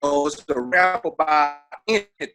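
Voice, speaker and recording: A man's voice: fairly high pitch, moderate pace, pushed and bright. A man with a Texas Southern accent talking casually in an interview, emphatic and full of slang and swearing. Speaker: interview guest, hip-hop veteran.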